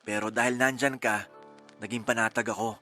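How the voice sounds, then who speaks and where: A man acting a grateful, gently teasing boyfriend in a Tagalog radio romance drama, affectionate and conversational. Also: medium pitch, fairly even intonation, normal speed, soft background music, natural voice. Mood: grateful, tender.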